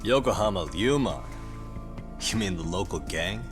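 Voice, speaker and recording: A man's voice: sits low, expressive, normal speed, soft background music. A man playing a composed adult detective in a video game dub, dry, unruffled and wryly skeptical. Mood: stern. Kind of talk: video game cutscene English dub acting.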